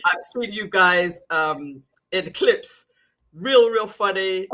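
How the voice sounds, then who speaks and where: A man's voice: sits high, slow, pushed and bright, slight background noise, poor audio. A man hosting a casual interview in an encouraging, affirming way. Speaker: interview host.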